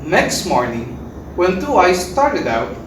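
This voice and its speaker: Female readers theater narrator: lively, swooping pitch, recorded at a distance. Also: takes it slowly. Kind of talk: scripted storytelling, readers theater. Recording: echoey room, distant mic, faint noise.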